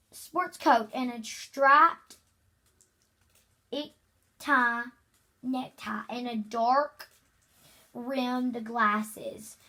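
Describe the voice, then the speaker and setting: A young child reading a children's book aloud, haltingly, stumbling over words. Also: mid-range voice, sharp tone. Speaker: reader.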